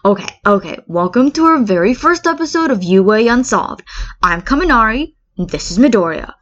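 A woman's voice: fairly high pitch, expressive, normal speed, fairly bright tone, pitched above the performer's own voice. A woman voicing an eager, chatty teenage boy who hosts ghost-hunting videos in a story. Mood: excited. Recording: dull lo-fi recording.

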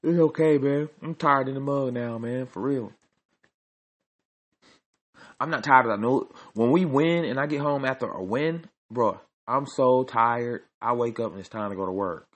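A man's voice: medium pitch, moderate pace. A man hosting his own podcast, delivering a joking, repetitive sports rant. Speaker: podcast host.

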